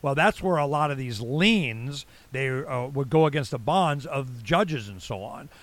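A man's voice: mid-range voice, lively intonation, measured pace. An American man around sixty hosting a casual interview, rambling and blunt with salty slang. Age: late 50s to early 60s. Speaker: talk show host.

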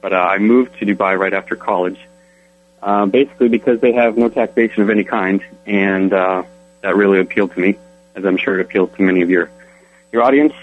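A young man from Colorado answering interview questions in an easygoing, relaxed way. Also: low voice, fairly quick, faint music behind, poor audio. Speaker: interview guest.

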